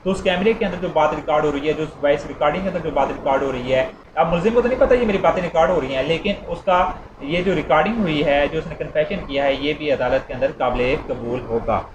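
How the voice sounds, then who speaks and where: A man teaches in a conversational, repetitive way. His voice sits a little high. The room rings with echo, and there is clear noise behind him. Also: fast talker, few pauses, dull audio. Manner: repetitive, conversational teaching with acted-out everyday examples. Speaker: law lecturer. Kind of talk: informal explanatory lecture with illustrative examples.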